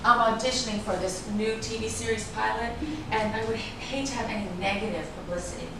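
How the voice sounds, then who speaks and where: A vain, self-assured reality-show star acted by a woman at a staged reading speaks in a fairly high voice. She sounds far from the mic in a noisy, echoing room. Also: brisk, close to an everyday voice. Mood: polite, probing.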